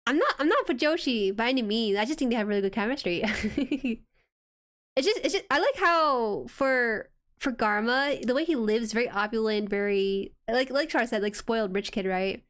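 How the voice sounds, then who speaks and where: Woman, soft smooth voice, fairly high pitch, casual streamer chat, excitable reactions. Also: moderate pace. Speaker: streamer hosting an anime watchalong.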